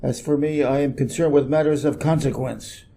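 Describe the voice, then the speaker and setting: Guy doing a grumpy self-important middle aged businessman from a storybook, brusque, really dark mellow sound. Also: on the high side, steady tone, normal speed, vocal fry. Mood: pompous, irritated. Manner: pompous, brusque, emphatic. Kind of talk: storybook character reading.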